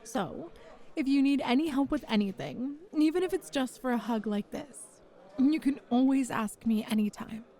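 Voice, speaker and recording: A woman playing a kind, flirty female bar manager in an ASMR roleplay, gently reassuring and encouraging a nervous job applicant. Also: high voice, moderate pace. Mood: tender, soothing. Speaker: voice actor in an audio roleplay. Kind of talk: ASMR roleplay, one-sided conversation.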